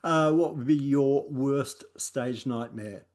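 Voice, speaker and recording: A man's voice: medium pitch, takes it slowly, a little mellow. An Australian man hosting an interview, asking questions in an easygoing way with many small hesitations. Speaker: interviewer, host.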